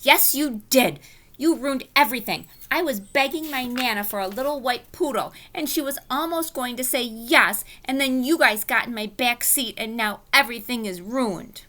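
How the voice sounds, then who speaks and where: A woman's voice: sits high, normal speed, sharp tone, voice pushed up. A woman voicing a prissy, spoiled little schoolgirl who sounds whiny and indignant. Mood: upset.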